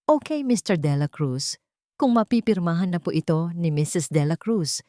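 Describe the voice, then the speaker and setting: A woman's voice: lowish voice, lively intonation, moderate pace, mellow, dry recording, clean studio sound, natural voice. A woman voicing a male lawyer in a drama story, courteous and casually businesslike.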